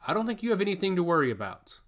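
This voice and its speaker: A man playing a calm, measured king and father in a fantasy audiobook. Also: high-pitched, pitched above the performer's own voice. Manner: measured, unruffled.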